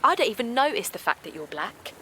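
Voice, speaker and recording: A woman's voice: a little high, sing-song, a little fast, sharp tone, slight background noise. An actress playing a naive, kindly middle-aged village woman in an audio drama, hesitant and defensive, with colloquial phrasing. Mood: upset, pleading. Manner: hesitant, colloquial, protesting, repeats herself. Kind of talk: naturalistic audio drama acting.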